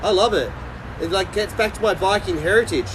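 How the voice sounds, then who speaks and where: Male livestreamer, middle-aged, higher voice, blunt and rambling casual delivery, clear traffic noise behind. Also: a little fast. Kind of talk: casual live chat with viewers.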